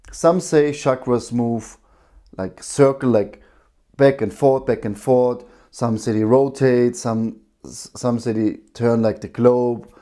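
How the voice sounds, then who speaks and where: Guy hosting a live breathwork class, warm strong voice, loose grammar, lots of restarts. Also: medium pitch. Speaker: breathwork teacher. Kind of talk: live-streamed spiritual teaching talk.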